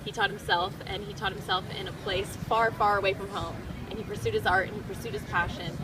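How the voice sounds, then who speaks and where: A woman's voice: mid-range voice, steady tone, quick pace, recorded from a distance. A woman hosting a casual interview, speaking in an earnest, motivational way. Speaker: interviewer, host. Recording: outdoors with loud crowd and traffic.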